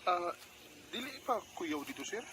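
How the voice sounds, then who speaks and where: A man's voice: high voice, very animated, normal speed, noisy background. A man playing a humble, anxious young construction worker in a radio drama, with a Cebuano Filipino accent and a deferential, plain way of talking. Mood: respectful. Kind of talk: Philippine radio drama acting.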